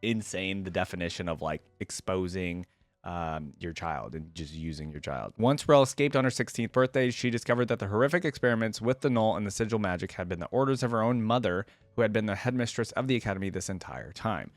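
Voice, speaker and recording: A man with a fairly low voice talks very quickly in a close, dry recording. He hosts a reaction video, moving between reading text aloud and casual, conversational commentary of his own. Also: animated, no room sound. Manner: informal, conversational asides.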